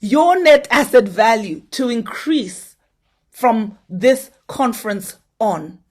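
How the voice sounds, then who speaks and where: A woman's voice: a little high, animated, takes it slowly, darkish tone. Earnest woman exhorting a conference. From Zimbabwe. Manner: earnest, exhorting, asks the audience direct questions. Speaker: conference opening speaker. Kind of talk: motivational conference address.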